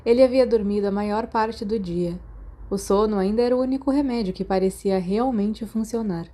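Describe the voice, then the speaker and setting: A woman with a Brazilian accent reading a story aloud as an expressive audiobook narrator. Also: mid-range voice, moderate pace.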